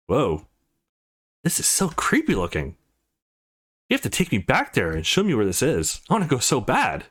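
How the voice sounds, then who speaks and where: A man voicing a brash, teasing, foul-mouthed teenage girl in a visual novel, blunt and excitable. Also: mid-range voice, normal speed, slightly rough, exaggerated voice. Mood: excited. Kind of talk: visual novel character reading.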